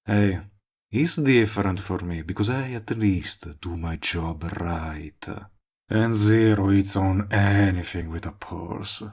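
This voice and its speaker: A man playing a smug, possessive, lovestruck villain in a romantic comedy audio roleplay, talking to the listener in a sardonic, persuasive way. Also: deep voice. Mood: flirty, boastful. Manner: smooth-talking, sardonic, drawn-out emphatic phrasing. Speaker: voice actor.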